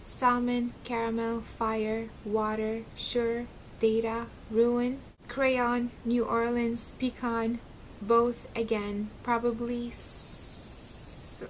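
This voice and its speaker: A woman's voice: on the high side, a little hiss or hum, low-quality recording. Bubbly young woman, slight Arabic accent. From Syria. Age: young adult. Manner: chatty and giggly, with frequent ums. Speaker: beauty vlogger. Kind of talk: casual chat to camera. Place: small bedroom at home, indoors. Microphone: close webcam, seated facing camera.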